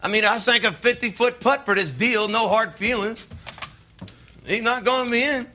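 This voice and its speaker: A cocky, hot-tempered drifter and older brother in a stage drama. His American voice is high for a man and extremely bright and forceful. He talks in a folksy, ungrammatical way, boasting and bullying. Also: moderate pace, slight background noise, heavily acted. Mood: smug. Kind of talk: filmed stage play acting.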